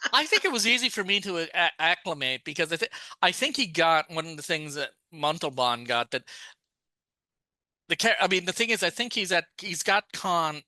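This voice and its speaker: A man's voice: fairly high pitch, sing-song, normal speed, pushed and bright, dry recording. A man co-hosting a podcast, talking casually and at length, often restarting his sentences. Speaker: podcast co-host. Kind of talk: casual chat.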